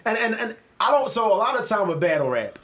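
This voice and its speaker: Philly podcast co-host, male, higher-pitched voice, animated, profane, storytelling. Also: fast talker. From Philadelphia. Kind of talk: casual chat.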